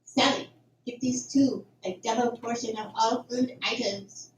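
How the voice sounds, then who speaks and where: Radio play role: imperious, eerie kitchen mistress, played by a woman, highish, stilted, very echoey. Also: fairly even intonation, unhurried, natural voice. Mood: imperious, gloating. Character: short stocky domineering, eerily commanding kitchen boss woman. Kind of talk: amateur radio drama acting. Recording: very echoey room, distant, faint hiss.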